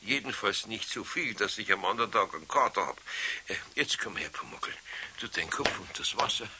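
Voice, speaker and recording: A man's voice: fairly low pitch, brisk, lightly acted. An actor playing a good-natured older Bavarian master craftsman in a children's radio play, scolding in a kindly, exasperated way. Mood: soothing, tired. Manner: exasperated but kindly, expressive. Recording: faintly hissy 1970s radio play recording.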